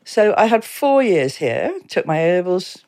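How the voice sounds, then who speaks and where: A woman in her seventies from Exeter with an English accent, reminiscing casually and anecdotally as a podcast guest, quick to chuckle. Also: on the low side, big pitch swings, a little mellow. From Exeter, England. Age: 70s. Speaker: town mayor, podcast guest.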